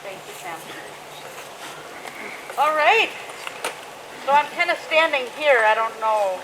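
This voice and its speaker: A woman's voice: sits high, expressive, slow pace. A woman with a Minnesotan accent casually running a club meeting in a park, with loose, chatty talk and fillers. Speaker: meeting presider. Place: outdoor park. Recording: noisy outdoor recording.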